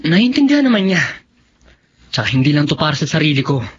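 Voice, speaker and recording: A man playing a dutiful, self-sacrificing young man with a husky voice, in a radio drama. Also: fairly high pitch, big pitch swings, fast talker. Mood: earnest, resolute. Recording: muffled radio recording.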